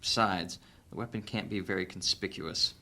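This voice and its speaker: A man playing a shrewd, resolute adventurer in a fantasy audio drama, reasoning deliberately. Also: low voice, fairly even intonation, bright, forceful tone, exaggerated voice. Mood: focused, urgent.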